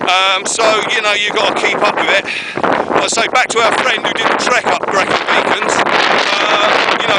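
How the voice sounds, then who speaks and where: A man vlogging outdoors while trekking a wet, windy trail, chatting casually and rambling with many fillers. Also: high-pitched. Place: outdoors on a windy rainy trail, later in woods. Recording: loud wind buffeting the mic. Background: loud wind noise.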